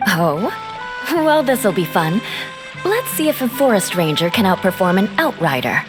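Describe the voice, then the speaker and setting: A woman's voice: medium pitch, very animated, measured pace, over music. An actress voicing a cool, proud female knight in a video game, who talks in a dry, confident way. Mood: wry.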